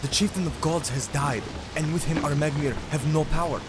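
A man's voice: on the high side, brisk, close to an everyday voice. A man playing a young elven lieutenant in a fantasy audio drama, formal, deferential and uneasy. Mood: uneasy, respectful. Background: dramatic music and rain.

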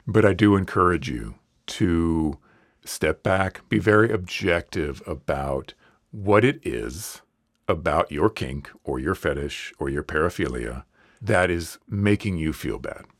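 A man with a very low voice and a cold hoarseness hosts a solo podcast. He talks straight into a close mic in a dry, deadened room. His manner is earnest and reassuring. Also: lively intonation, a little slow, no room sound. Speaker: podcast host.

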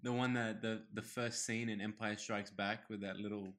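A man's voice: fairly low pitch, flat delivery, fairly bright tone. A man in his twenties co-hosting a podcast, teasing and bantering in casual chat. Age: 20s. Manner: playful, teasing, quick to banter. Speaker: podcast co-host.

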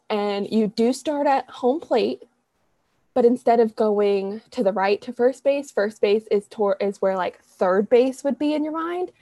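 A woman's voice: a little high, dark tone, no echo. A young woman co-hosting a podcast, talking casually in a rambling way, correcting herself often with lots of fillers. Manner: rambling, self-correcting, chatty with frequent fillers. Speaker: podcast co-host. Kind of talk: casual chat.

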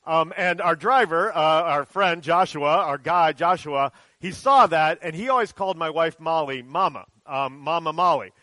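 A man's voice: a little high, moderate pace, few pauses, sharp tone, no echo. A man who is a pastor, preaching a church sermon aimed at children and telling a story in a casual way with many ums and uhs.

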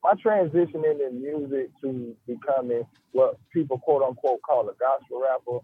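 A man giving a casual radio interview as a guest, talking haltingly and peppering his speech with "you know" and "man". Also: medium pitch, breathy edge. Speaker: guest, rapper and music business man. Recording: tinny phone line.

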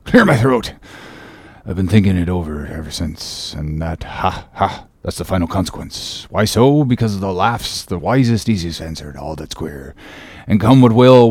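Stubb, a man doing a gravelly jolly sailor. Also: low-pitched, darkish tone, dry recording, full character voice. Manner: chuckling, waggish musing. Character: jolly fatalistic sailor mate muttering to himself. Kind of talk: audiobook character reading.

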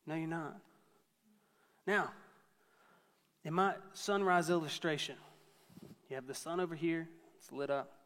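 High-voiced man preaching in a reverberant hall. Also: measured pace, long pauses, fairly bright tone. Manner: conversational, storytelling with self-deprecating humor. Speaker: pastor. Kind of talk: sermon.

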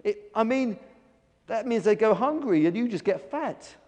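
A man voicing a reasonable, earnest gull in a children's story, gently scolding a friend. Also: high voice, expressive, normal speed, long reverb tail, voice pushed up. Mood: earnest persuasion.

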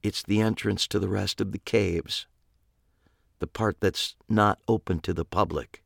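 Man reading as a scared young woman in an audiobook, hushed and soft, low gravelly undertone. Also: steady tone, measured pace, no echo, studio quality, exaggerated voice. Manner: soft, near whisper. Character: frightened young woman, quiet and trembling.

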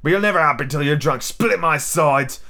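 A man's voice: fairly high pitch, normal speed, bright, forceful tone. A man reading an audiobook, voicing a cunning, boastful, domineering pirate cook with emphatic rustic sailor talk. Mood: scornful, commanding. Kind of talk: audiobook character acting.

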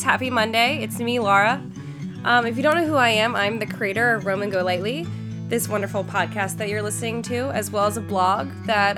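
A young woman hosting a podcast, upbeat and chatty with many "um" fillers, her voice congested from a flu. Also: medium pitch. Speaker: podcast host. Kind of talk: podcast intro and interview.

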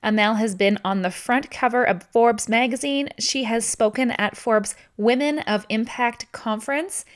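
A woman's voice: a little high, a little slow, hardly any pauses. A woman hosting a conversational interview with an upbeat, encouraging manner. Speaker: podcast interview host. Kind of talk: introducing a guest, conversational interview.

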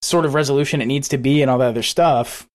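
A man's voice: mid-range voice, fast talker. A man co-hosting a podcast in casual chat, rambling and laughing often between thoughts. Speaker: podcast co-host.

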